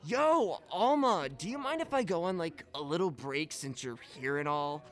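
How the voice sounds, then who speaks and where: A man's voice: fairly high pitch, expressive, moderate pace, fairly bright tone. A man playing a laid-back young stoner video-store clerk who drawls in slacker slang in an audio drama.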